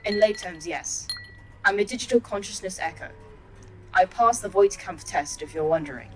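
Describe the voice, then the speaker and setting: A woman playing a formal, polite artificial intelligence in an audio drama, measured and precise. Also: medium pitch, lively intonation, natural voice, low-quality recording. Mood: coolly assured. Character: calm, formal, superior artificial intelligence computer. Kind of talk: audio fiction podcast acting.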